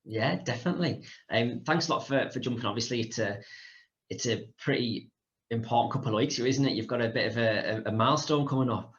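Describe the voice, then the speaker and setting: A man hosting a podcast and interviewing a guest, brisk and often repeating words. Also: medium pitch, dull audio. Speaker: podcast host. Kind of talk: casual interview chat.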